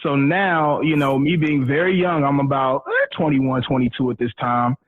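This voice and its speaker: A man's voice: mid-range voice, normal speed. Male radio guest, casual self-mocking storyteller, slangy delivery, telephone-quality sound. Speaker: radio personality being interviewed as guest. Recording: thin phone-like audio.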